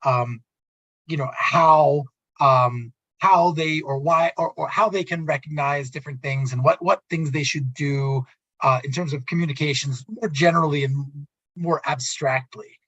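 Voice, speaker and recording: A man hosting a radio interview in a conversational way, haltingly repeating and restarting his words as he sums up. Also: on the high side, a bit bright. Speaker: radio talk show host. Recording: muffled, roomy, echoey audio.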